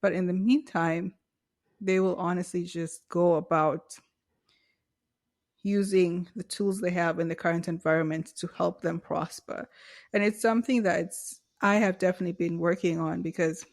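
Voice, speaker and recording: Earnest, fairly low-voiced woman. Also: fairly even intonation, normal speed, a little mellow. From Kenya. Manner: earnest, musing, with hesitant restarts. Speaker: podcast co-host. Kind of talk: casual conversational podcast.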